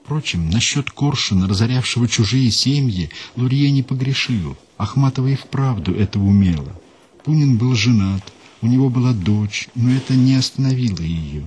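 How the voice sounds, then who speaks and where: A man hosting a radio program, reading a scripted story in a measured, unhurried way and dropping lower and quieter for quotations. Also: animated, breathy edge. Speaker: radio host and narrator.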